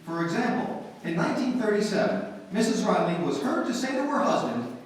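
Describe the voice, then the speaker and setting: A man's voice: high-pitched, very animated, brisk. A man working as announcer and narrator, reading a script in 1940s radio style. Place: live stage show in a hall. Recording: echoey hall, distant, noisy.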